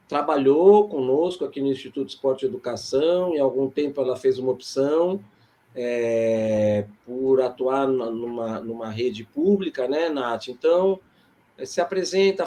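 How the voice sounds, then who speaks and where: A man with a Brazilian accent moderating an informal online panel, explaining things in a measured way with thoughtful pauses. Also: medium pitch, darkish tone. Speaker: host and moderator.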